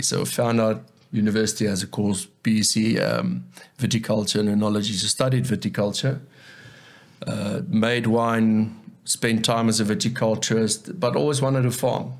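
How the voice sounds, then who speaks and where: A South African man whose first language is Afrikaans, speaking earnestly as a guest in a casual interview and often repeating words as he thinks. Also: lowish voice. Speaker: guest, agronomist.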